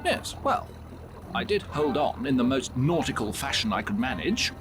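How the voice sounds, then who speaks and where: An actor playing a posh, excitable young pet mouse with a British accent, prim, polite and easily flustered, in a video game. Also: male voice, mid-range voice, normal speed, over music, close to an everyday voice. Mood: polite, nervous.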